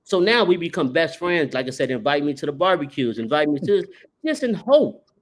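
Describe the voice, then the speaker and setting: A man co-hosting a podcast in casual chat, telling stories with a voice that jumps up on punchlines. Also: a little fast. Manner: animated storyteller, rising pitch on punchlines. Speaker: podcast co-host.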